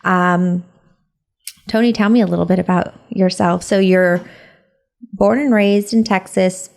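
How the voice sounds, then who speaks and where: A woman's voice: on the low side, steady tone, unhurried, vocal fry, darkish tone. A woman from California hosting a podcast interview, upbeat and encouraging, with frequent fillers. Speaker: podcast host. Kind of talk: casual interview chat.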